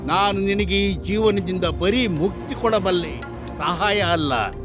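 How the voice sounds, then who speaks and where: A man's voice: high-pitched, takes it slowly, music in the background. A man playing a proud, stern tiger king in a children's cartoon story, speaking in an imperious manner. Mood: haughty, stern.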